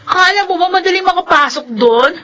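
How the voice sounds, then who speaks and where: An actress playing a bossy, stubborn young adult sister in a radio drama, sassy and challenging, with a Filipino accent. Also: female voice, very high voice, animated, quick pace, over music. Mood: scornful, challenging.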